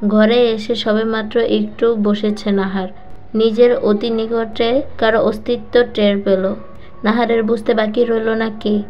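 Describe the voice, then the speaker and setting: Female story narrator, higher voice, for serial romance fiction; slightly dull lo-fi track with music underneath. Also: little pitch movement, a little slow. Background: background music.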